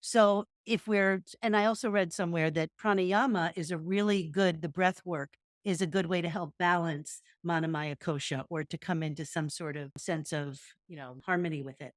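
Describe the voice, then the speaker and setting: Low-voiced woman musing on yoga. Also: fairly even intonation, moderate pace, few pauses, no room sound, studio quality. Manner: thoughtful, meandering, guiding movement cues. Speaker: podcast co-host, yoga teacher. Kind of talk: casual reflective conversation.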